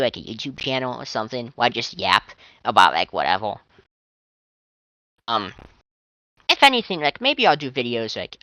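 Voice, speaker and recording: Young male fan commentator, high tense voice, tired and strained, dull dry lo-fi mic, loose rambling delivery. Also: bright, forceful tone, no echo. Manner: chatty, swearing, trailing restarts. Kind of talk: casual rambling monologue.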